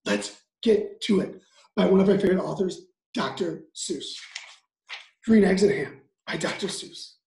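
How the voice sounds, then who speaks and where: A man's voice: high voice, measured pace, vocal fry, darkish tone, a little hiss or hum. A man in his thirties reading a children's picture book aloud expressively, with playful asides. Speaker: story reader. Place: small home room, indoors. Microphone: webcam microphone at desk distance. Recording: echoey room, laptop webcam sound.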